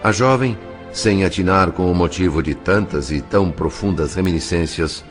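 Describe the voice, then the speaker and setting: A male actor as a fatherly spirit narrator with a deep voice and Brazilian accent, solemn and compassionate. He tells an audio novel over faint music. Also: moderate pace, close to an everyday voice. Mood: solemn, tender.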